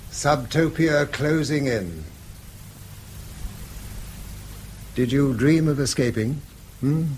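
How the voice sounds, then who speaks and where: A man's voice: medium pitch, expressive, a little slow, darkish tone, slight background noise, lightly acted. A man acting a weary, bitter middle-aged schoolmaster and father in a British radio drama, speaking eloquently and self-reproachfully in a British accent. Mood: bitter, musing. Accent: British English. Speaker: actor.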